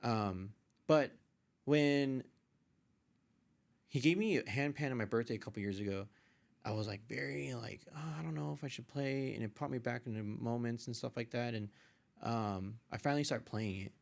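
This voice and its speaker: A man's voice: medium pitch, normal speed, creaky, pauses often. Guy on a podcast just telling his story, super slangy and rambly, regular mid voice. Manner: informal, slangy, meandering. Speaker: podcast guest. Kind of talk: casual personal storytelling.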